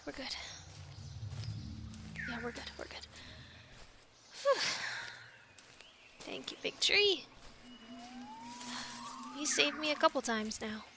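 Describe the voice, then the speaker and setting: A woman's voice: sits high, big pitch swings, slow pace, gravelly, long pauses, noisy background, heavily acted. A woman playing a quirky, awkward young animal scientist stranded on an island, stammering and rambling in an ASMR audio roleplay. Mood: relieved, breathless. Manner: stammering, rambling, flustered, urgent hushing in whispers.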